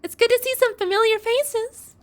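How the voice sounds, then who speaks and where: A young adult woman giving casual, playful and expressive reaction commentary as a game streamer. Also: extremely high pitch, moderate pace.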